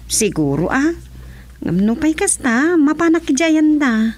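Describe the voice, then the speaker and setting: A village mother, talks in a high-pitched voice with an Ilocano accent. The delivery is that of rural radio comedy-drama. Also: big pitch swings, unhurried, a little mellow, a little hiss or hum. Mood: concerned.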